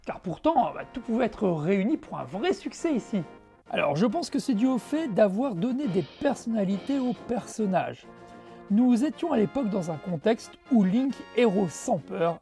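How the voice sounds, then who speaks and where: Female presenter, mid pitch, soft-edged tone, conversational scripted review with light music underneath. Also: lively intonation, normal speed. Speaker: host of a retro video game show. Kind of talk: scripted review narration with casual asides.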